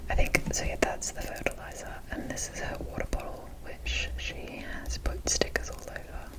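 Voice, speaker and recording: A woman speaking in a soft, unvoiced whisper, showing items in a casual, rambling ASMR style with pauses between short phrases. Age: adult. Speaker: ASMR video host. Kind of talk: whispered ASMR, unscripted doll showcase.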